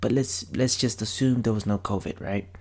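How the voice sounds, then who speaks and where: Male reaction vlogger, low and gravelly, chatty and slangy, soft music bed. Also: steady tone, normal speed. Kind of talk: casual reaction commentary.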